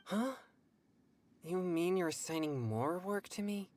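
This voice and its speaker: A woman's voice: lowish voice, expressive, a little slow, a little mellow, slight background noise. A woman playing a reluctant, put-upon young friend in a video game, grumbling and resigned. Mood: dismayed. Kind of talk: video game cutscene acting.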